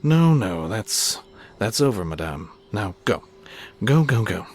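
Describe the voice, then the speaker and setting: A man's voice: lowish voice, big pitch swings, slow pace, faint music behind, heavily acted. A man playing an obsessed, arrogant scientist in a grandiose, theatrical amateur audio drama. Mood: urgent, impatient. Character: obsessed, arrogant scientist who creates a monster.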